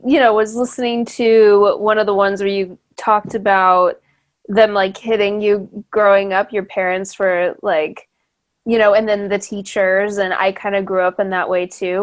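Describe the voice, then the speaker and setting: A young woman hosting a podcast, chatting casually with many fillers and restarts and laughing easily. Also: mid-range voice. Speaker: podcast host. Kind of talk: casual interview chat.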